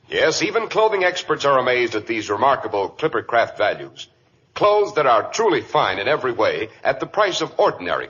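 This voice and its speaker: A man's voice: medium pitch, fairly bright tone. An American man reading a 1940s radio advertisement in a polished, emphatic announcer's manner. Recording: dull vintage radio recording with hiss.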